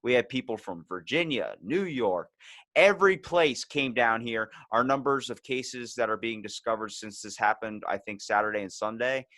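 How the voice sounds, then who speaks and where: A man's voice: medium pitch, moderate pace, no room sound. A man in his twenties with an American accent, chatting casually and profanely as a podcast host, telling stories in slangy language. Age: 20s. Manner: slangy, profane, animated storytelling in quoted bits.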